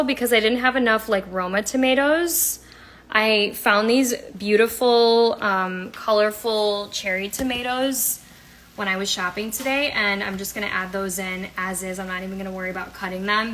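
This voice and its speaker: A woman's voice: mid-range voice, a bit bright. A young woman hosting a casual cooking demo at home, chatting in an upbeat, rambling way with lots of fillers. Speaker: cooking and health coach host. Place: home kitchen. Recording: noisy kitchen recording. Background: pans and utensils clattering.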